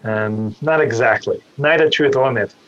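A man's voice: mid-range voice, moderate pace, a bit bright, dull audio. A man from Austria, a guest surgeon, who explains things carefully and often repeats a small word as he starts a point. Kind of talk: explanatory interview answers.

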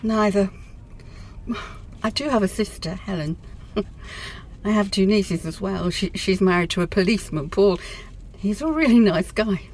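An actress plays a middle-aged woman who has lost her office job, in a radio drama. She is wordy, dramatic and hurt, pitched at an ordinary female height. Some faint background noise sits behind her. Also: measured pace, a little mellow, natural voice. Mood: embarrassed, rueful. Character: distraught middle-aged office woman just made redundant. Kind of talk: radio play acting.

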